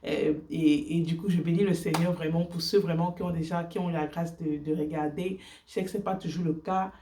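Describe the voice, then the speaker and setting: A woman whose first language is English speaks in earnest, halting French, with many fillers and restarts, sharing her faith on a casual vlog. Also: low voice, steady tone, dark tone, recorded from a distance, slight background noise.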